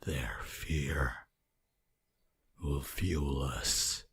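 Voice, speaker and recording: Super deep breathy vampire, possessive n creepy seductive, slow asmr whispering. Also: male voice, slight background noise, exaggerated voice. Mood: darkly seductive. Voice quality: breathy, husky, often whispering. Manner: slow, theatrical, archaic phrasing.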